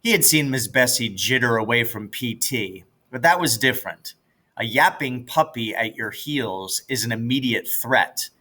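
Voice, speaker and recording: Man, middle pitch, bright forceful tone, teacher reading aloud, children's book narration. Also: animated, normal speed. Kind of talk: scripted audiobook-style narration of a children's novel.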